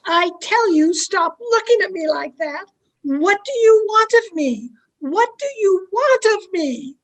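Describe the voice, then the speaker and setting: A woman playing a fearful, obedient wife in an old-time radio horror drama, with tremulous, halting, melodramatic delivery. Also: very high-pitched, lively intonation, heavily acted, poor audio. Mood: terrified. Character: frightened, obedient wife driven to murder.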